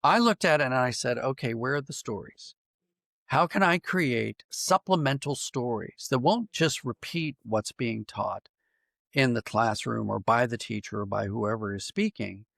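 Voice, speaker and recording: A man answering interview questions conversationally as a writer, thoughtful and halting, circling back to rephrase as he goes. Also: medium pitch, vocal fry, a bit bright, no echo, clean studio sound. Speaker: podcast guest, writer and dramatist.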